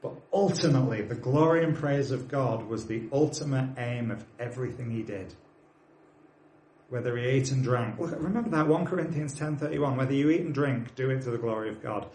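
A man's voice: medium pitch, fairly quick, darkish tone, roomy sound. A man of forty with a British accent, preaching a sermon, earnestly urging his congregation and dropping in plain, friendly asides. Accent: British English. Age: 40s.

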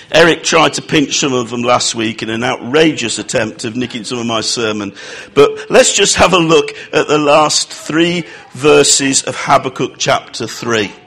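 A man preaching a sermon, croaky from a cold, with jokey, self-mocking asides. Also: a little high, fairly bright tone.

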